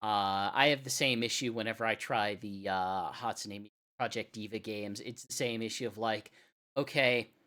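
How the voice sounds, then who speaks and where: A radio show host giving casual live-stream commentary while playing a rhythm game, in a rambling, halting, self-deprecating way. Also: mid-range voice, sharp tone.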